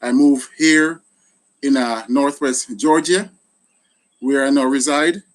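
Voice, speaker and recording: A man's voice: medium pitch, slow. A middle-aged man with a Jamaican accent hosting a casual live chat, thanking people often. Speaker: gardening channel host, retired soldier.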